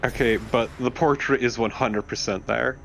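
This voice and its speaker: A man's voice: medium pitch, fairly even intonation, normal speed, gravelly, a bit bright. Man, mid-range voice, informal sweary gaming banter, joking, slight background noise. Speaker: let's play co-commentator. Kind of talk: casual gameplay commentary.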